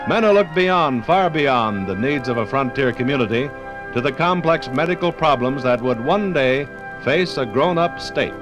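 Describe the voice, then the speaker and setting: A man with an American accent reading scripted 1950s radio documentary narration in a formal, measured way with dramatic emphasis. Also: medium pitch, animated, rough recording. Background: faint music.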